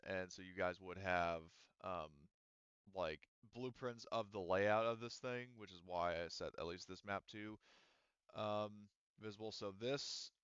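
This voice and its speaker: Male GM, low-ish smooth voice, rambly and hesitant, dry muffled online audio. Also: fairly bright tone, no room sound. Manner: rambling, thinking aloud with many "um" and "like". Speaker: game master. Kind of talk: explaining rules and setting in a tabletop game.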